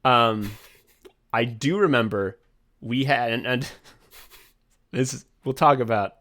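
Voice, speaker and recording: Rambling, excitable young man swearing casually. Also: medium pitch, takes it slowly. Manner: rambling, profane, excitable, laughs often. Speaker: podcast co-host and writer. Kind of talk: casual chat.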